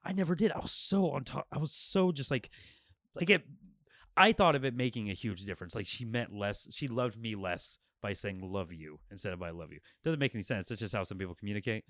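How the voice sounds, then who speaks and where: A man hosting a podcast in casual chat, speaking candidly and reflectively, with halting restarts. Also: fairly low pitch, a bit bright, no room sound, clean studio sound. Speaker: podcast host.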